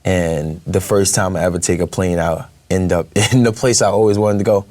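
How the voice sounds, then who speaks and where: Young New man, low voice, dry close sound, hesitant and chatty guest. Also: fairly even intonation, normal speed, darkish tone, no room sound. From Brooklyn, New York. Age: young adult. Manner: hesitant, many fillers, laughs easily. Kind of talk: casual interview chat.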